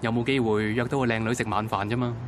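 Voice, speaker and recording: An actor playing a quiet, considerate and gently flirtatious suitor in Hong Kong Cantonese in a radio drama. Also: male voice, medium pitch, flat delivery, fast talker, a little hiss or hum, close to an everyday voice.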